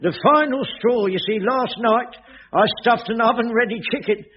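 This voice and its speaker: High-voiced, gloomy old caretaker. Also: male voice, moderate pace. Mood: self-reproachful. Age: older. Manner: hesitant, stammering, complaining. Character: gloomy, put-upon old male caretaker of a block of flats. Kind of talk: 1980s radio sitcom acting. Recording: dull old radio recording, faint hiss, audience. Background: studio audience.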